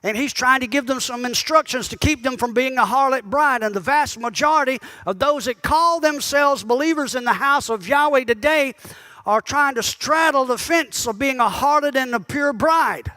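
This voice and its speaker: Male pastor, very high voice; chatty sermon that prods the congregation with questions. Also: normal speed, sharp tone. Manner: conversational preaching that calls out to the congregation; asks the listeners pointed questions. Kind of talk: sermon teaching.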